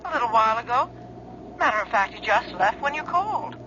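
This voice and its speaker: An actress playing a calm, matter-of-fact middle-aged mother who answers plainly, in a dull old radio drama recording. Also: fairly high pitch, brisk, slight background noise. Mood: puzzled.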